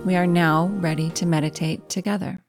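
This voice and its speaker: A woman narrating a guided meditation as host, calm and measured, giving gentle step-by-step instruction. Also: fairly low pitch. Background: music.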